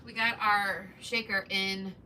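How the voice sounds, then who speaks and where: A woman in her thirties with a soft, relaxing Midwestern voice, chatting casually with viewers during a crafting livestream. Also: mid-range voice, flat delivery, takes it slowly. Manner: chatty, greets viewers while working. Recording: distant mic with background noise.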